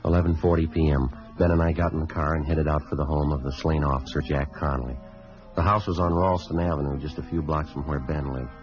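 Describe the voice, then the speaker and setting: An actor playing a calm, weary police sergeant who narrates his case in a clipped, deadpan 1950s radio drama style. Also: very deep voice, a little fast. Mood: flat, businesslike. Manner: clipped, deadpan, matter-of-fact. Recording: dull vintage radio recording with faint music.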